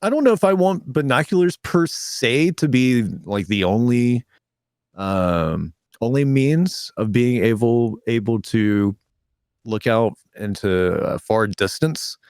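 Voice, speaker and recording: A man co-hosting a podcast in a laid-back casual chat, a little hoarse, reading listener comments aloud. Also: mid-range voice, animated, slow, a little mellow, no echo, clean studio sound. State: slightly hoarse. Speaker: podcast co-host.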